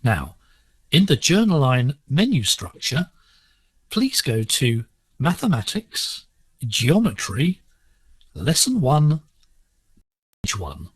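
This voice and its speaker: Male narrator for educational radio: unhurried, instructive scripted delivery with animated pitch and a rough-edged, dry sound. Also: no room sound. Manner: measured, didactic. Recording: digital shortwave radio broadcast, slightly rough.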